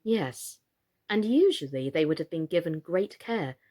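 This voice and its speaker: A woman reading a storybook, voicing a wise, kindly female doll with a calm, measured, patient manner. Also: on the low side. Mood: patient explaining.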